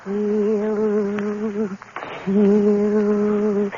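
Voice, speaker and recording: An actress playing a sinister spectral she-devil, chanting hypnotic commands in 1950s radio horror style. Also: mid-range voice. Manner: chanting, drawn-out, relentless monotone. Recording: muffled, noisy vintage 1950s radio recording.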